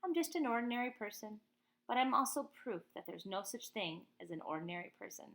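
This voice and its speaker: A woman voicing a calm, resolute woman activist who tells her life story in a picture book, earnest and emphatic. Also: medium pitch, a little fast. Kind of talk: first-person picture-book narration.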